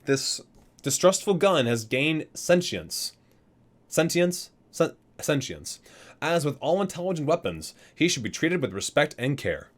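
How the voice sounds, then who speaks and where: A man's voice: fairly high pitch, big pitch swings, a little slow. A man reading aloud in an ASMR style with casual asides, stumbling over words and correcting himself as he goes. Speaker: ASMR video creator reading a game guidebook.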